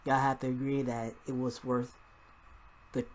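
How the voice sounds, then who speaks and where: A man's voice: medium pitch, level tone, a little slow. A man giving a casual, rambling movie review in an informal, mildly profane vlog style. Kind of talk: casual spoiler-filled film review vlog.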